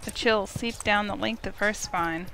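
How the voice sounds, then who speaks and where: Casting: woman narrator, mid pitch, reading visual novel prose as a game streamer, slightly noisy recording. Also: unhurried, vocal fry, fairly bright tone. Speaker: streamer, reader.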